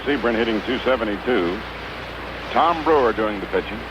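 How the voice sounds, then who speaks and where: American baseball announcer, noisy vintage radio. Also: male voice, expressive. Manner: measured, clipped phrases with pauses between pitches. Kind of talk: 1950s radio sports commentary. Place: ballpark broadcast booth. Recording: noisy, dull vintage 1950s radio broadcast.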